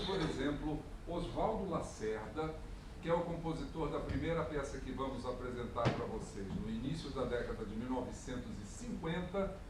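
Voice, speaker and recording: A Brazilian man gives a chatty, jokey spoken introduction from a concert stage and keeps thanking the audience. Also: medium pitch. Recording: echoey hall from far off, audience noise. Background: audience ambience.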